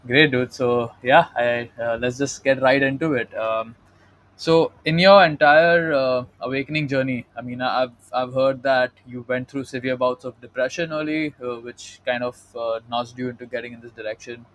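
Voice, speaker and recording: This is a man hosting a podcast in a casual, easygoing style. He leans on fillers and speaks to his guest with open, effusive praise. His voice is mid-pitched and plain. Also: fairly even intonation, normal speed, a bit bright, poor audio. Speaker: podcast host. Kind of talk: casual interview chat.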